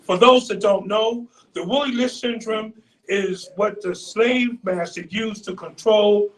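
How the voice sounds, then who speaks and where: A man, speaking as a panelist in a loud, preacherly way and repeating phrases for emphasis, picked up from a distance. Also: high voice, unhurried. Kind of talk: impassioned community panel speech.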